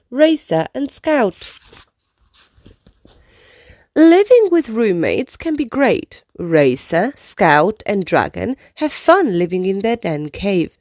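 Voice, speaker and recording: A woman reading a children's picture book aloud in an expressive storytelling style. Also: medium pitch, no echo, studio quality.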